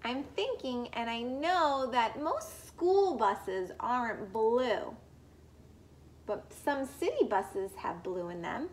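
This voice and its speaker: A young woman teacher reading to small children, expressive and full of questions to her listeners. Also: fairly high pitch, slow. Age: young adult.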